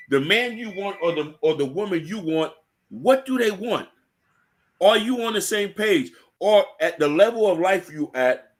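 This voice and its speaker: Emphatic man hosting a podcast, higher-pitched voice. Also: fairly bright tone. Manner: emphatic, preaching to listeners. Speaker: podcast host. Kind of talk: opinionated panel talk with rhetorical questions.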